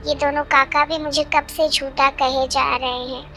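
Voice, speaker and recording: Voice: high voice, little pitch movement, normal speed, bright, forceful tone. A performer voicing an earnest, honest, polite young schoolboy in a cartoon. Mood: earnest pleading.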